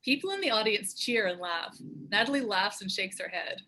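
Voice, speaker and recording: A woman narrates scripted action for a table read. Her medium-pitched voice is bright and forceful, with a husky edge. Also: animated, normal speed, rough recording. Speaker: narrator and show co-creator. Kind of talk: scripted stage-direction narration.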